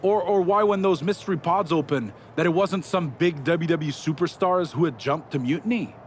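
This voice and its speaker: A somewhat high-voiced actor as a male wrestling villain gloats through a promo in a video game. He is smug and sarcastic, taunting his rival over clear background noise. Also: normal speed, close to an everyday voice. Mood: mock admiration. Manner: sarcastic, gloating, stuttering restarts for mockery.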